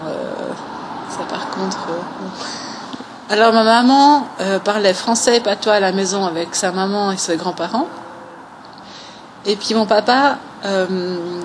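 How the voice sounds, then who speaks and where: A woman's voice: medium pitch, far from the mic, noisy background. Young woman, hesitant. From Valais, Switzerland. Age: 20s. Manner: hesitant, many fillers, softly trailing off. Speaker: interviewee. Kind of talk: recorded personal testimony.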